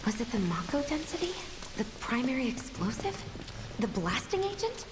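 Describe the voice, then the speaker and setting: A woman's voice: fairly high pitch, sing-song, moderate pace, background noise. A woman playing an earnest, spirited young rebel bomb-maker in a video game, intense in delivery. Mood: worried, defensive. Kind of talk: dramatic video game acting. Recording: long reverb, loud rain and ambience.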